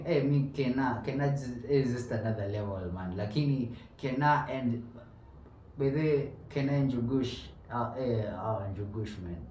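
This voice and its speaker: A man's voice: mid-range voice, warm tone, far from the mic, slight background noise. A young man with a Kenyan accent hosting a podcast in casual chat, switching between English and Swahili slang with many restarts. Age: young adult. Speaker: podcast host. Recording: roomy, off-mic with faint ambience.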